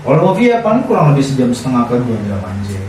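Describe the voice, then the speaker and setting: A man's voice: medium pitch, huge pitch swings, fast, darkish tone. A man with a Jakarta Indonesian accent co-hosting a casual podcast, talking colloquially with many confirming tags. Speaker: podcast co-host. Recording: very echoey room, distant mic, faint noise.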